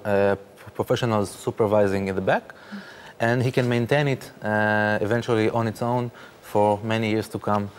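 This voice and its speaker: A man, speaking haltingly with many fillers as a guest in a studio interview. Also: on the low side, slight background noise. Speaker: interview guest, startup founder.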